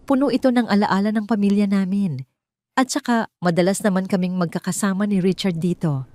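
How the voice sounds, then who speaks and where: A woman voicing a betrayed wife in an audio drama, controlled and guarded, speaking in a restrained, pointed, measured way. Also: mid-range voice, fairly even intonation, a little mellow, no room sound, voice pushed up, close to an everyday voice. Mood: forced politeness.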